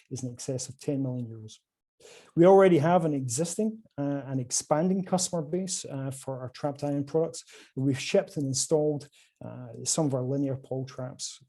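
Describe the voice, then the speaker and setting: A man with a Scottish accent giving a conference presentation over a video call, with many filler sounds and restarts. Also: mid-range voice. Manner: hesitant, many filler sounds, lively rises and drops in level. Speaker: conference speaker from a quantum computing company.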